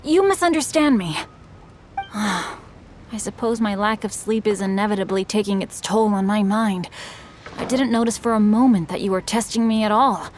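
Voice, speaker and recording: A woman's voice: mid-range voice, moderate pace, a little mellow, slight background noise, lightly acted. A woman playing a polite, bookish teenage boy novelist in a video game, speaking in formal, eloquent phrases. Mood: flustered, embarrassed. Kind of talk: video game character voice acting.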